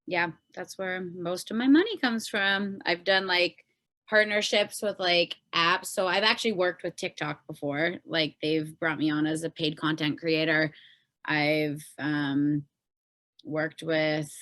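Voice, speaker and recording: A woman's voice: low voice, a little slow, no room sound. A woman chatting casually and informally as a podcast guest, telling things with lots of "like". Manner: informal, peppered with "like", animated retelling. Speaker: podcast guest, solo pop artist and content creator. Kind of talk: casual interview chat.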